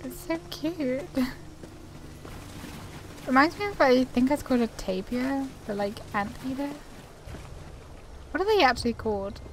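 A woman's voice: high-pitched, expressive, measured pace, pauses often, a little hiss or hum, poor audio. A woman doing casual gameplay commentary and reading quest text aloud, chatty, informal and self-deprecating. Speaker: gaming YouTuber. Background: video game ambience.

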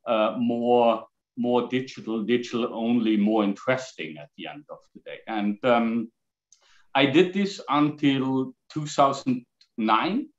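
A man in his forties from Austria, speaking English with an Austrian accent as a guest on a video call, halting with fillers. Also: medium pitch. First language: German. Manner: gestures while talking, halting with fillers. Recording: slightly dull video call audio.

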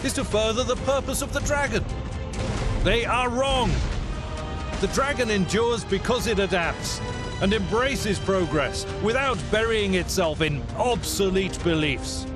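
An actor playing a proud, resolute heir to a feudal empire, giving a formal, measured and lofty public address in a game. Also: male voice, high-pitched, over music. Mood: stern, resolute. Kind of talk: formal televised political address.

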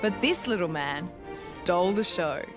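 A woman's voice: on the low side, expressive, a little slow, music in the background. A woman with an Australian accent reading scripted narration as a television reporter.

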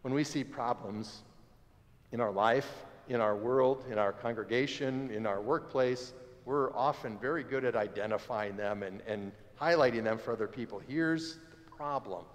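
A man in his forties preaching a sermon as pastor, conversational and animated, standing by the altar of a church sanctuary. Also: mid-range voice, moderate pace. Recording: long church reverb.

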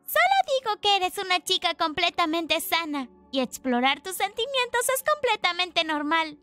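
A woman voicing a tiny, kindly bug sprite in a fan dub, gentle and encouraging, with a Latin American accent. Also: extremely high pitch, expressive, normal speed, soft background music, exaggerated voice. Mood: teasing.

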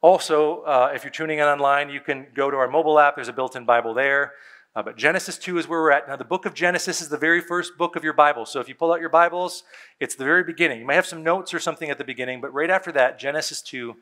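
A man, a pastor preaching a sermon on a church stage, conversational and emphatic, with dramatic pauses and soft drops in his voice. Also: fairly high pitch. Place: church stage before a seated congregation.

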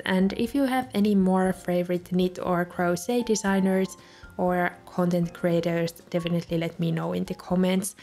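Soft-spoken woman, Finnish native speaker. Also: mid-range voice. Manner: soft-spoken, light laughs between remarks. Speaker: journaling video creator. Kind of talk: calm voice-over narration. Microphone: voice-over recorded separately.

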